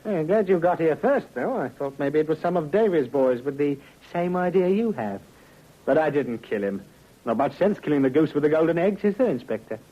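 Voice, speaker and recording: A man's voice: a little high, animated, fairly quick. An actor, a man, playing a cocky, sardonic ex-convict suspect with glib, slangy talk in a vintage radio drama. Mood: mocking. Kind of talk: 1950s radio drama acting. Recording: muffled old radio recording.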